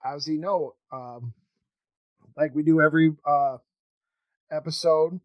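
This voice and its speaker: Halting male podcast host, fairly high voice. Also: no room sound, muffled recording. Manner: halting, rambling, informal. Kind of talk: casual interview chat.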